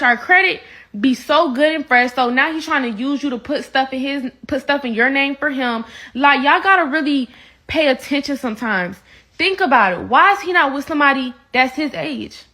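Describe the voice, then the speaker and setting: A woman's voice: sits high, bright, forceful tone. A young woman giving an earnest, lecturing rant for a social media video. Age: young adult.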